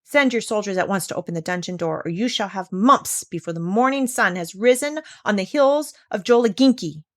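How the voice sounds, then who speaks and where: A woman's voice: mid-range voice, expressive, brisk, close to an everyday voice. A woman voicing a storybook parrot who impersonates a boastful male doctor, pompous and threatening. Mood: grand, threatening. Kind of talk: storybook read-aloud character voice.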